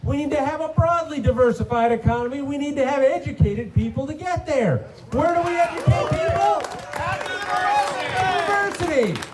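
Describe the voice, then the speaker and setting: Casting: state senator at a rally, man, very high voice, emphatic, rhetorical, faint background noise. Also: lively intonation, fairly quick, crowd noise. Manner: punchy rhetorical questions, emphatic. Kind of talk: rally speech.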